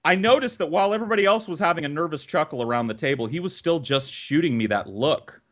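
A man in his thirties telling a personal story for laughs on a podcast, animated and dramatic, with swearing. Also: on the high side, quick pace. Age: 30s.

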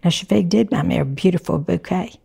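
Woman, 60s, Southern US accent, chatty, gravelly, husky, lowish. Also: brisk, dark tone. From Alabama, US. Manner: chatty, down-to-earth storytelling. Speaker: interviewee, mother. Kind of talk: interview recollection.

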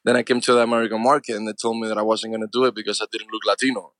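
A quick-talking man around forty with a Cuban accent, his first language Spanish. He talks with earnest conviction, dropping "man" and "you know" constantly, and the sound is close and dry with no room around it. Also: on the low side, flat delivery, fairly bright tone, no room sound. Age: 40s. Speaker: actor and filmmaker guest. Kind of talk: casual interview talk.

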